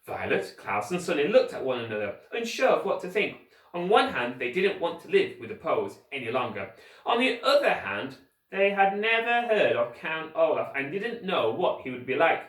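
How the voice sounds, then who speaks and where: A man reading a children's story aloud like a teacher, with expressive, deliberate scripted narration. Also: fairly high pitch. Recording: roomy echo, distant microphone.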